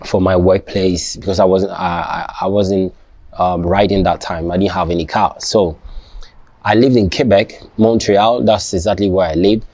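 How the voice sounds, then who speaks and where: A man with a deep voice and a mellow, dark tone, speaking English as a second language in an unscripted vlog. He talks in fits and starts, restarting his sentences and checking that the listener follows. The recording is dry, with almost no room sound. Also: fairly even intonation, no echo. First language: not English. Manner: halting, restarts often, asks "you understand?". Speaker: vlogger. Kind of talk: casual personal talk to camera.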